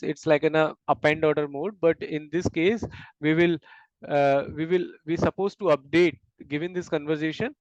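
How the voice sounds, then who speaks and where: A man gives a technical explanation in a smooth, slightly high voice. He moves through it haltingly, repeating words and often checking for agreement. The sound is dry and a little muffled, like a lo-fi recording. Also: no echo. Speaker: instructor explaining system design. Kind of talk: technical lecture in a discussion, explaining step by step. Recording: dull, lo-fi close audio.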